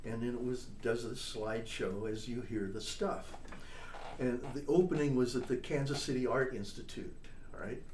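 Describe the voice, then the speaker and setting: A man's voice: mid-range voice, normal speed, a little mellow. Older man telling stories in an echoey room. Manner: chatty storyteller, tags points with "all right?" and "you know?". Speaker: interview guest, trombonist and composer. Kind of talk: casual storytelling interview. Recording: echoey room, distant mic, faint noise.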